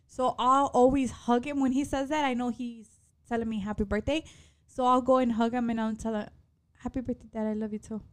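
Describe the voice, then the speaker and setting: A woman's voice: fairly high pitch, fairly even intonation, fairly quick. A woman in her thirties co-hosting a casual chat, laughing and swearing, mixing English and Spanish. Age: 30s. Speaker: podcast co-host.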